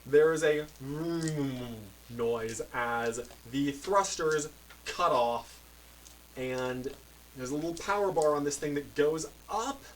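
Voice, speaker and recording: A man narrating as game master of a tabletop role-playing game, describing scenes in a relaxed, rambling way. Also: a little high, slow. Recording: mic a bit far, faint noise.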